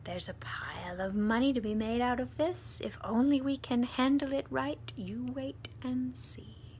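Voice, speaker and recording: A woman's voice: on the high side, steady tone, measured pace, darkish tone, a little hiss or hum, pitched above the performer's own voice, lightly acted. A woman voicing a bossy, sly, money-grubbing aunt from a children's storybook, with a barking, commanding manner. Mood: sly, scheming.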